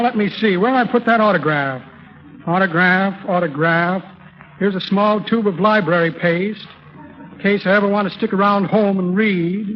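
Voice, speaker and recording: An actor playing a blustery, shifty con man in a 1930s radio comedy, with florid patter and muttered asides. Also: high-pitched. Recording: muffled 1930s radio recording.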